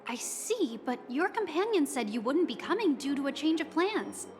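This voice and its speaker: A young female hotel receptionist in a game cutscene, high-pitched and very expressive. She is polite and attentive in a customer-service way, with music playing behind her. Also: moderate pace. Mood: polite, puzzled.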